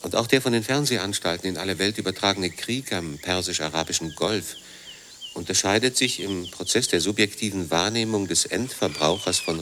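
A man reading as a critical writer and doctor in a radio play, in a measured, intellectual manner. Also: low voice, a bit bright, a little hiss or hum. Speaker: reader of a philosophical essay. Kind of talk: scripted essay reading in a radio drama.

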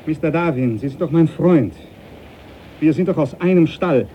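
An actor playing a stubborn, pious, hot-tempered ship's captain in a radio drama, blustering and emphatic. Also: male voice, fairly high pitch, normal speed, highs cut off, a little hiss or hum, natural voice. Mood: conciliatory, eager. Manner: blustering, emphatic, short exclamations.

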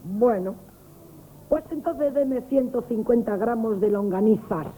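Actress as slow, sly old lady. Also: a little high, warm tone, close to an everyday voice. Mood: unhurried. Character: short-sighted elderly lady customer, quietly sly. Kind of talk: 1970s radio comic theatre acting. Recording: dull, noisy vintage radio broadcast.

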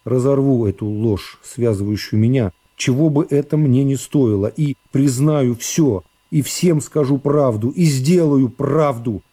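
Remorseful aristocrat played by a male reader, radio-play soliloquy, mid-pitched, soft-edged tone, tormented and emphatic. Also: unhurried, few pauses, close to an everyday voice. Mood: fervent resolve. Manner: anguished, emphatic interior monologue. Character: remorseful nobleman agonizing over his guilt.

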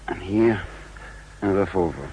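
An actor playing a cool, commanding spymaster and double agent in a 1960s Dutch radio drama, speaking in an assured, deliberate way. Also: male voice, low voice, expressive, mellow, slight background noise. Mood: calm, confiding.

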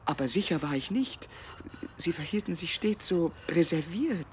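A man acting a smooth-talking, opportunistic suitor in a German radio drama, earnest and courting, with hesitant moments. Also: fairly high pitch, lively intonation, moderate pace, slight background noise, close to an everyday voice. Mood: ardent, courting.

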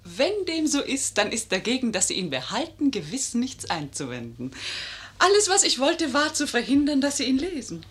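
A woman's voice: sits high, wildly swooping pitch, moderate pace, slightly rough, heavily acted. An actress playing a bold, witty, scheming lady who is teasing and proud, in a 1950s German radio play. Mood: sly, mocking.

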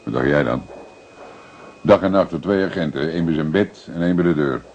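An actor playing a seasoned, calm police detective in a radio drama, measured, persistent and dryly matter-of-fact. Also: male voice, very deep voice, muffled recording, slight background noise.

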